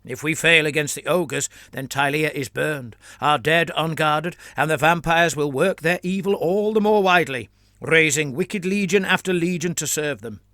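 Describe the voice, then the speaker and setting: A man's voice: on the high side, normal speed, pushed and bright, dry recording, pitched above the performer's own voice. Brief: a man doing a fervent senior priest, insistent and preachy, dry close sound. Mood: urgent. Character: stern zealous male priest, pleading for war.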